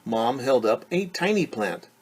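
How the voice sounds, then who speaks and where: Slow-talking, husky male storytime teacher. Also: medium pitch, big pitch swings. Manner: simple, patient explaining, child-directed. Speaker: teacher reading a picture book to children. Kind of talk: read-aloud lesson with questions and explanations.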